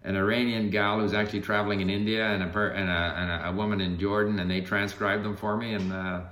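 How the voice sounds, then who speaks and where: Older man, bright tense voice, lowish pitch, rambling with restarts, roomy echo. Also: little pitch movement, quick pace. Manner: rambling, thoughtful, many restarts. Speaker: language learner being interviewed. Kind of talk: casual conversation. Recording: echoey room.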